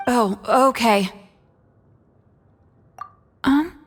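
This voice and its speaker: An actress playing a timid, apologetic young woman in a video game, speaking haltingly and nervously. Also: fairly high pitch, very noisy. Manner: hesitant, halting.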